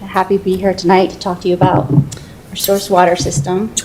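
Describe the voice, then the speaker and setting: Low-voiced woman explaining water law. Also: brisk, slight background noise, poor audio. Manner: steady, explanatory, with fillers. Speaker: water resources manager. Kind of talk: council presentation.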